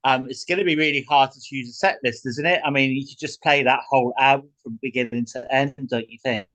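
A man's voice: medium pitch, fairly even intonation, a little fast, a bit bright. A man from the UK interviewing a singer, eager and full of praise. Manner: enthusiastic, gushing praise. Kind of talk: casual interview chat.

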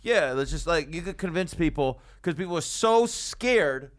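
A man's voice: fairly high pitch, lively intonation, normal speed. A man hosting a podcast from a studio, joking and rambling sarcastically in casual comedic chat. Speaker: podcast host.

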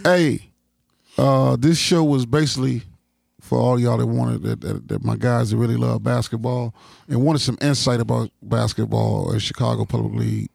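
A man with an American accent and a gravelly, husky voice, hosting a casual podcast with blunt, profane street slang. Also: medium pitch, fairly even intonation, normal speed, a little mellow, no room sound, clean studio sound. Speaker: podcast host.

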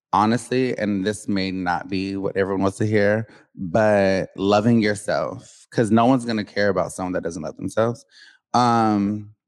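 A man's voice: lowish voice, darkish tone, no room sound. A man as a talk show guest, chatty and sassy. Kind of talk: casual chat.

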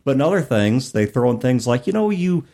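Guy podcasting about tabletop games, very animated, medium pitch, explains stuff casually. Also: brisk. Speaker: podcast co-host. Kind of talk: casual chat about tabletop gaming, reading passages aloud.

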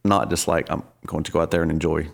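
A man in his forties with a very deep voice talks as a podcast guest. He is easygoing and self-deprecating, with plenty of ums and you-knows. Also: level tone, fast, gravelly. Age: 40s. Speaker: worship leader, podcast guest. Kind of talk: casual interview conversation.

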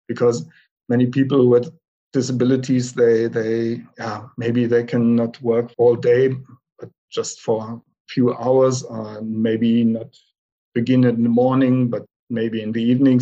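Flat-voiced man, halting talk. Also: mid-range voice, dull audio. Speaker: panel speaker. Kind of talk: casual presentation.

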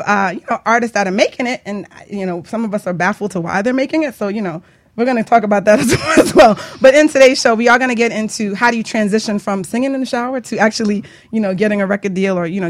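A woman's voice: medium pitch, fast, no echo. Chatty woman radio host, dry close sound. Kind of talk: casual radio chat.

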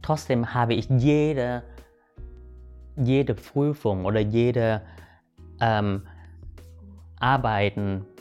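Halting man. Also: medium pitch, steady tone, darkish tone, clean tone, highs cut off, faint music behind. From Vietnam. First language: Vietnamese. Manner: halting, many restarts and fillers, asks "weißt du". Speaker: guest. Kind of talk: reflective personal storytelling.

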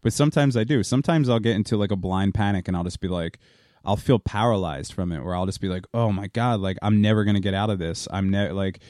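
A man in his thirties co-hosting a podcast, talking in a rambling, halting way with many restarts. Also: fairly low pitch, a little mellow, dry recording, clean studio sound. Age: 30s. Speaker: podcast co-host. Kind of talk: casual podcast conversation.

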